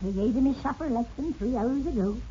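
A woman's voice: on the high side, quick pace, dark tone, lightly acted. Woman acting a muffled, kindly village housekeeper. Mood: sympathetic concern. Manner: motherly, sympathetic, folksy. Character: kindly, fussing village housekeeper, constable's wife. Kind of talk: 1960s radio drama acting. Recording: muffled, noisy old radio recording.

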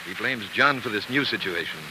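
A man's voice: fairly low pitch, lively intonation, close to an everyday voice. An actor as a careworn father, noisy old radio. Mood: grave. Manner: grave, measured, hesitant. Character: careworn, cautious, protective father of a grown daughter. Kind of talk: 1940s radio acting.

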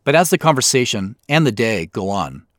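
A man's voice: mid-range voice, sing-song, normal speed, a bit bright, dry recording. An American man giving a scripted director's commentary on an audio drama as its creator.

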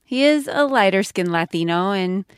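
A woman hosting a podcast, narrating in a clear, measured, conversational way. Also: mid-range voice, expressive, no echo, clean studio sound. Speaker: podcast host. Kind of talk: scripted narration and interviewing.

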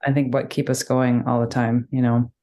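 A woman's voice: very deep voice, level tone, a little fast, dark tone. A woman hosting a casual interview, asking encouraging, conversational questions. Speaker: podcast host.

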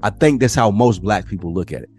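A man with a fairly low voice talks casually as a podcast co-host. His speech is slangy and sweary, and he puts his opinions bluntly. A little music sits in the recording. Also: lively intonation, quick pace, darkish tone, breathy edge. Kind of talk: casual conversational podcast talk.

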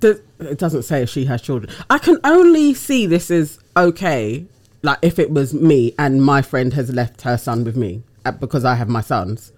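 A woman's voice: rumbling low, moderate pace. A woman, giving frank opinions in casual chat with friends. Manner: frank, expressive.

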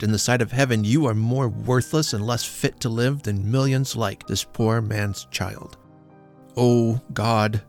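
A man voicing a solemn, stern spirit that rebukes gravely, in a measured storybook reading. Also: fairly low pitch.

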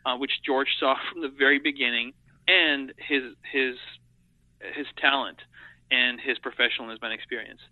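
A man's voice: mid-range voice, no room sound. Middle-aged man, French native speaker, earnest interview guest; dry, phone-quality line. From Europe and Africa. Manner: earnest, reflective, thinks aloud in long sentences. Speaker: guest, animation editor. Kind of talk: casual interview talk.

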